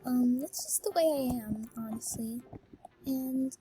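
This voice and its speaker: A teenage girl chatting casually while she plays a game, high-pitched and bubbly, with lots of ums. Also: a little slow, dark tone, faint music behind. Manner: bubbly, full of filler ums, exclaims at game fails. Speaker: gaming YouTuber. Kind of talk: casual voice-reveal chat while gaming.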